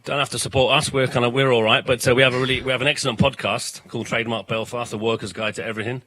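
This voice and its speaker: A man in his fifties with a South London accent, a guest at a political festival talking fast and forcefully, often repeating words as he starts a sentence. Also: mid-range voice, flat delivery. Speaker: political education organiser, guest. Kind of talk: passionate interview talk, swearing.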